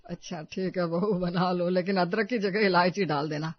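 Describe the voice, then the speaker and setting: A man voicing a mild, easygoing older father-in-law in an animated family story. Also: high voice, normal speed, dry recording, lo-fi sound.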